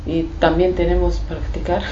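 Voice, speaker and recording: A woman whose first language is Kurdish, answering interview questions in halting Spanish with many pauses and fillers. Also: fairly low pitch, flat delivery, dark tone. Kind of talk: casual interview. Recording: echoey, dull and noisy room recording.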